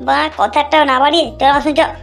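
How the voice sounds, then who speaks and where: A woman's voice: sits high, fairly even intonation, very fast, gravelly, over loud music. A woman voicing a blunt, foul-mouthed young village clothes seller in a cartoon, given to grumbling and scolding. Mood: irritated scolding. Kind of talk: cartoon dialogue.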